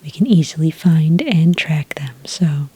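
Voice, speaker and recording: A woman doing a soft-spoken ASMR roleplay as a friendly registration clerk, whispery and breathy, explaining things gently and without hurry. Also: low-pitched, expressive, dry recording. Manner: gentle, unhurried customer-service explaining. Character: friendly female registration clerk for werewolf hunters. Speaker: ASMR roleplayer as a licensing associate.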